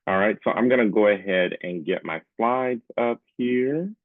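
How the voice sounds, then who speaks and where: A man's voice: fairly low pitch, moderate pace, no echo. A man in his forties giving an informal webinar talk in a conversational way, often checking in with his listeners. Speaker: presenter, public affairs specialist. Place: small room at home, indoors. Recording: muffled phone-like webcam audio.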